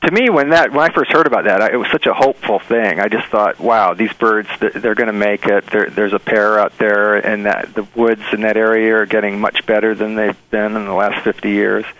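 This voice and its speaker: A man being interviewed as a bird researcher, telling stories in an earnest, enthusiastic, halting conversational way. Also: fairly low pitch, steady tone, fairly bright tone, rough recording.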